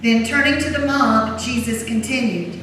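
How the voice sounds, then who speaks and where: A woman narrating a dramatic scripture reading in a church, with a solemn, measured delivery. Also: on the high side, fairly bright tone. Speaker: narrator of a Passion Gospel reading. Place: large church during a service. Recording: very echoey church, distant mic, noisy.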